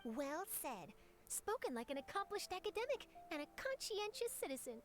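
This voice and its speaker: A eager young female reporter in a fantasy game. The voice is extremely high and lively, swinging widely, with a breezy, chirpy, wordy delivery. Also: normal speed. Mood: upbeat, confident. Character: perky, eager young female investigative journalist.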